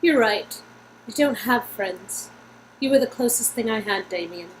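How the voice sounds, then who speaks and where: A woman's voice: a little high, expressive, unhurried, a little hiss or hum, pitched below the performer's own voice, rough recording. A woman voicing an aloof, guarded, lonely female secret agent from an interactive story game, with a put-on British accent. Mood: guarded, sad.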